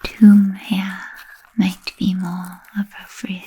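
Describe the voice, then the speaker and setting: A woman playing an ancient, refined, playfully macabre vampire in a whispered ASMR roleplay, speaking softly and formally with trailing pauses. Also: mid-range voice, little pitch movement, slight background noise, natural voice. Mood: teasing, musing. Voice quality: soft breathy whisper. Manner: slow, deliberate, archly formal with trailing pauses.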